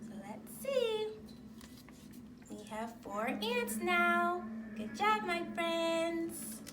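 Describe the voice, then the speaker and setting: High, lilting woman talking to young children. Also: lively intonation, extremely slow, noisy background. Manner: encouraging, simple child-directed phrasing. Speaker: children's activity presenter and read-aloud host. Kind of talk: instructional talk and read-aloud for young children. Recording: roomy, distant mic, music behind.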